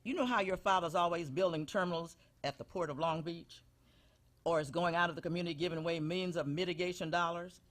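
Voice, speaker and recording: Man playing a high-voiced, guilt-tripping elderly mother. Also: steady tone, fast talker, a bit bright, lightly acted. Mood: fretful. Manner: folksy, martyred, guilt-tripping. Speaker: stage performer in a benefit show. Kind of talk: live comedic radio-show acting.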